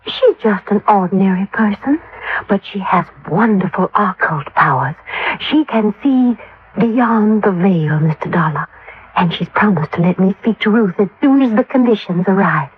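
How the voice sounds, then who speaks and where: A woman's voice: mid-range voice, expressive, normal speed, breathy edge. An actress playing a genteel, middle-aged grieving mother, a dotty believer in spiritualism, speaking earnestly and properly in a radio drama. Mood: wistful, earnest. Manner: earnest, proper, slightly scatterbrained. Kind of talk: 1950s radio drama acting. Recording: tinny vintage radio, band-limited.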